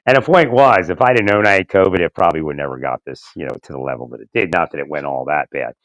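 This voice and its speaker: A man with a slightly hoarse, raspy voice talking in a rambling, halting way, repeating words, chuckling often and asking "right?" as he speaks into his phone outdoors. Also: low-pitched, fairly bright tone, dry recording, low-quality recording. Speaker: vlogger. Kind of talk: rambling casual monologue. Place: outdoors in winter.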